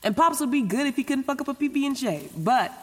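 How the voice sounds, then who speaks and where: A woman's voice: sits high, lively intonation, fast talker, slightly rough, in a large hall. An actress playing an anxious, chatty young woman who rambles casually and swears in a naturalistic audio drama monologue. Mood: wistful. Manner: rambling, casual, sweary, stop-start self-talk. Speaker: lead actor in an audio drama.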